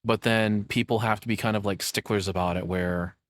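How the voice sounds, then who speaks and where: A man's voice: lowish voice, fairly even intonation, a little fast, dry recording, studio quality. A man hosting a reaction video with informal, rambling, unscripted commentary.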